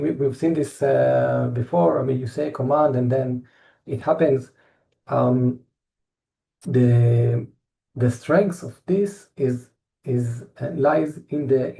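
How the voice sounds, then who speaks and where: Israeli guy presenting tech stuff, dark gravelly voice, lots of uhs and restarts. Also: mid-range voice, rough recording. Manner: halting, many fillers and restarts. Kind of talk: technical presentation.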